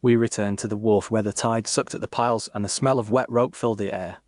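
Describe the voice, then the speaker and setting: A man reading a Victorian detective story in a measured, formal, literary manner. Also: on the low side, steady tone, a little mellow, no room sound. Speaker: narrator.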